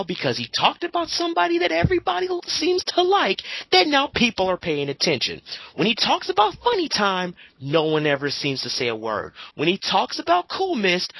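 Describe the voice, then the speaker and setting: A woman with a sharp, bright voice and a very lively range of pitch. She chats casually and gives opinionated, emphatic commentary with sarcasm and swearing, in a dry recording. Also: husky, no echo, rough recording. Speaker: co-host of an online dramatic reading.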